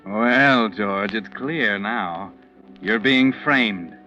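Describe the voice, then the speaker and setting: A man's voice: lowish voice, drawn-out words, bright, forceful tone, heavily acted. A man playing an ominous, taunting narrator in a 1940s radio mystery, speaking in a dramatic, insinuating way. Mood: taunting, grim. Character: ominous all-knowing male narrator taunting the accused man. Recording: muffled old 1940s radio recording, music behind.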